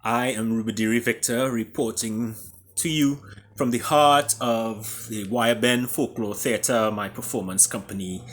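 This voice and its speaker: A man with a Trinidadian accent, an author and storyteller speaking theatrically, with booming emphasis and hushed drops. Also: steady tone, measured pace. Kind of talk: dramatic storybook reading and introduction.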